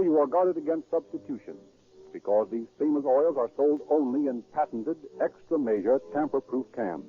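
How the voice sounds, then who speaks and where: A man delivers scripted announcements in the emphatic, formal manner of 1930s radio. The recording is very thin and tinny, like an old broadcast, with faint music in it. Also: mid-range voice.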